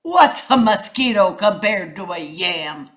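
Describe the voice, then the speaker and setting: Woman playing a loud, snappish grumpy iguana. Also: medium pitch, expressive, slow. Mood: grumpy, scornful. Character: grumpy, scornful male iguana. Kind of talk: animated storybook character reading.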